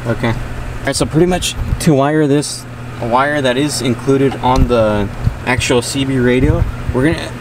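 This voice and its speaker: A man's voice: mid-range voice, normal speed. Man narrating a tutorial, noisy distant recording. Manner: informal, plain step-by-step explaining. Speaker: host of car how-to videos. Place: in and around a parked pickup truck. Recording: distant mic, clear noisy vehicle background.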